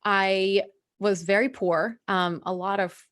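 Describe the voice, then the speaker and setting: A woman from Russia, a native Russian speaker working as a mindset coach, talks to live viewers in an unscripted, confiding and earnest way, halting at times and stretching words for emphasis. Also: medium pitch, fairly even intonation, a bit bright.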